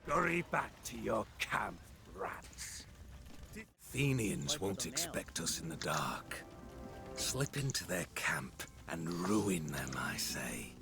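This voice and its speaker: A man playing a ruthless Spartan warrior in a game, with a gravelly, sneering and menacing delivery. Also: very animated, takes it slowly, music in the background, heavily acted. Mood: sneering menace. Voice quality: gravelly, often hissing low. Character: ruthless, contemptuous Spartan warrior who relishes night raids.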